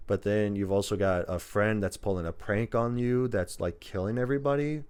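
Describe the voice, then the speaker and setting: A man with a low voice talks casually, like someone co-hosting a podcast. He explains things in a loose, rambling way, stringing his thoughts together as he goes. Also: moderate pace, darkish tone, clear, resonant tone. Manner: rambling, filler-heavy, loosely strung explanations. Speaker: podcast co-host. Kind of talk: casual chat about video game movies.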